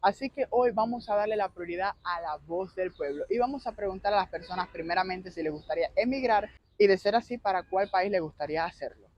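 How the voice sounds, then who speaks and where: High-voiced Cuban man, street interviewer. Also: darkish tone. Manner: familiar, addresses people with terms of endearment. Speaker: street interviewer and YouTube host. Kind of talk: casual street interview and commentary to viewers.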